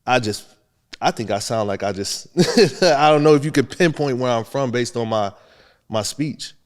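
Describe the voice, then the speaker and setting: A man's voice: medium pitch, normal speed. A young man from Buffalo, a rapper, talking casually in an interview and telling his story with easy laughs. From Buffalo, New York. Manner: relaxed, storytelling, laughs often. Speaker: rapper guest.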